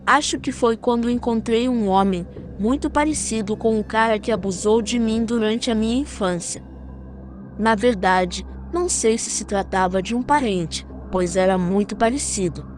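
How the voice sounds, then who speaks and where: A woman's voice: on the high side, moderate pace, a little mellow, soft background music. A woman narrating a scripted first-person story.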